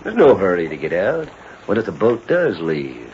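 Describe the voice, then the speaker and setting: A low-voiced, shrewd western lawman. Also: wildly swooping pitch, darkish tone. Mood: cool, taunting. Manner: folksy, deliberate, taunting. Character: shrewd, unhurried western federal detective toying with crooks. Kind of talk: 1940s radio western acting. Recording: muffled 1940s radio broadcast, faint noise.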